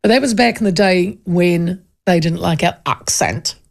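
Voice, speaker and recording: A woman talk radio host with a New Zealand accent and a gravelly, creaky edge to her voice. She chats loosely from the studio mic and reads out listener texts with a joking, self-deprecating manner. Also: fairly low pitch, normal speed. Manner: chatty, self-deprecating, laughs often. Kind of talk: casual talkback radio chat reading texts. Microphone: studio microphone.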